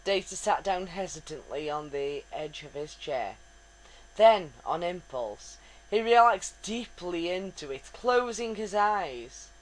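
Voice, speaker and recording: Woman reading a story, very expressive. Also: on the low side, takes it slowly, poor audio. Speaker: reader. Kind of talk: dramatic reading of fan fiction.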